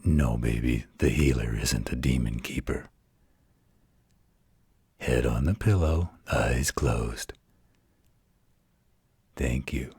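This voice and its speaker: A man voicing a gentle, patient werewolf in a slow, soothing bedtime roleplay, with a husky growl. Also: dry recording, clean studio sound, exaggerated voice. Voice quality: very deep gravelly husky growl. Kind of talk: intimate bedtime roleplay audio.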